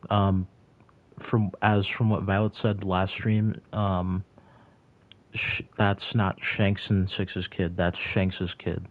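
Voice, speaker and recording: Deep-voiced young man, tinny phone-like sound. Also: little pitch movement, slow. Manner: rambling, heavy on "like" and restarts. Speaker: streamer answering chat. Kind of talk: casual livestream chat.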